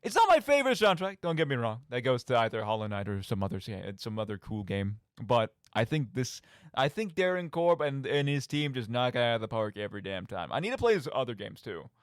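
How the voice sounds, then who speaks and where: A man's voice: medium pitch, brisk, smooth, dry recording, clean studio sound. A man hosting a radio show solo, rambling casually and theatrically with conversational asides. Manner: animated, theatrical mock outbursts, conversational asides. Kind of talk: casual rambling monologue about video games.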